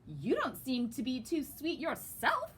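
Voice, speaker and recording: A woman's voice: high-pitched, huge pitch swings, unhurried, fairly bright tone, a little hiss or hum, voice pushed up, heavily acted. A woman voicing a bossy, self-satisfied young milk girl in a children's story, prim and emphatic. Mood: huffy.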